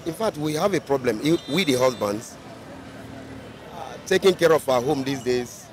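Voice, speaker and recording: A man's voice: medium pitch, animated, moderate pace. Emphatic middle-aged Nigerian man on the street. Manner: emphatic, repeats his point. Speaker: passerby interviewee. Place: busy city roadside outdoors. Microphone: handheld mic held by interviewer. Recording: outdoor street with traffic noise.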